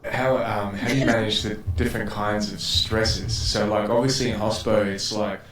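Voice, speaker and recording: A man's voice: on the low side, little pitch movement, normal speed, a bit bright. A man hosting an interview, enthusiastic, often reacting with wow. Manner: enthusiastic, keeps saying wow. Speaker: podcast host. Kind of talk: casual interview chat. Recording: echoey room with faint noise.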